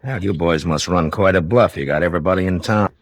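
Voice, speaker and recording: A very low male voice, playing a gruff, weary American lawman in an old radio western. He is terse and commanding, sounding slightly husky and close in a dry studio. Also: fast talker, dry recording. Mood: firm, sardonic. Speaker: radio actor. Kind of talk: 1950s old-time radio western acting.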